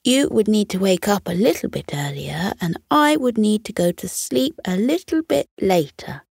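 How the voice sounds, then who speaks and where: A woman's voice: medium pitch, sing-song, normal speed, vocal fry, a little mellow, husky, no echo, clean studio sound, exaggerated voice. A woman voicing a kind, earnest male fox who makes tentative, coaxing offers in a children's bedtime story. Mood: hopeful. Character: eager, kind male fox with a big idea.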